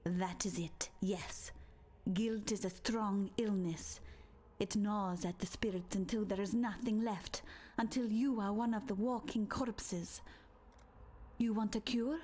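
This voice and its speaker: Slow, husky mystic seer. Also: female voice, medium pitch, steady tone. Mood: ominous, mystical. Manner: slow, cryptic, hushed. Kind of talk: video game dialogue acting.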